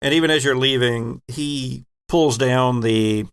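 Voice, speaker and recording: A man's voice: medium pitch, unhurried, clear, resonant tone. A man working as game master, narrating a tabletop game off the cuff and searching for his words.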